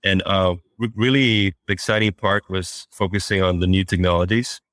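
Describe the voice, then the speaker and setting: A man's voice: low-pitched, steady tone, normal speed, a bit bright, dry recording, clean studio sound. A man from Costa Rica, a startup founder, answering interview questions hesitantly and repeating words. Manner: hesitant, repeats words before finishing thoughts.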